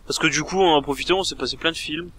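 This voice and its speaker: A man's voice: on the high side, racing, a bit bright, a little hiss or hum. A man playing a video game with a friend, chatting casually and teasing with jokes. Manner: joking, teasing, giggly. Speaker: co-host of a gaming video. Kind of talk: casual gameplay banter.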